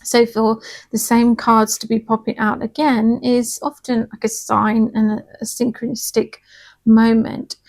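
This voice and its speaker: A woman giving a casual tarot and angel card reading, her voice slightly hoarse, talking in a soft, chatty, reassuring way and often checking in with "okay?". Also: on the high side, level tone, unhurried.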